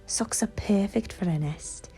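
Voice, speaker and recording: A woman voicing a friendly, gentle little female owl in a light, breathy storybook voice over music. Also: mid-range voice, unhurried, in a whisper, pitched above the performer's own voice, natural voice, poor audio. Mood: explaining.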